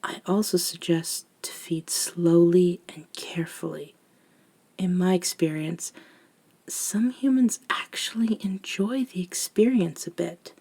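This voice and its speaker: A woman voicing a gentle elder vampire in an ASMR roleplay, speaking softly and breathily, often near a whisper, soothing and reassuring. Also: fairly low pitch, husky, heavily acted. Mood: hushed, calming. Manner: slow, soothing, reassuring, with long pauses. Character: gentle elder female vampire mentoring a nervous young one. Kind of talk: soft-spoken ASMR roleplay monologue.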